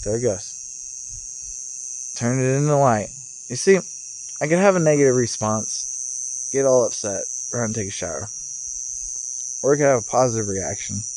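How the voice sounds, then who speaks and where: A man speaking as a vlogger in a casual, off-the-cuff spiritual monologue, musing in broken phrases with pauses. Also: medium pitch, noisy background. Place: outdoors, sitting in a rocking chair. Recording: outdoor recording with chirping insects. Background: cicadas or crickets.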